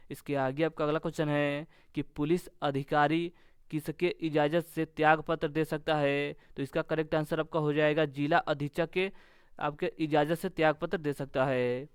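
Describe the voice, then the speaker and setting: Crisp, higher-pitched man teaching quiz questions. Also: no room sound, studio quality. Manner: instructional, repetitive set phrasing. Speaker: exam-coaching teacher. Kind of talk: question-and-answer quiz lesson.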